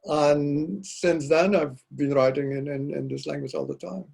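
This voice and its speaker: An older man whose first language is Dutch, a poet being interviewed, speaking reflectively and pausing often to search for words. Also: fairly high pitch, steady tone, vocal fry, darkish tone, breathy edge. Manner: halting, searching for words, frequent restarts. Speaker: poet guest.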